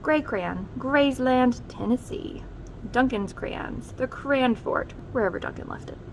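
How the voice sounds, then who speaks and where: A woman presenting a read-aloud, narrating a children's storybook expressively with measured emphasis on names and key phrases. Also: high-pitched, animated, vocal fry, a little hiss or hum.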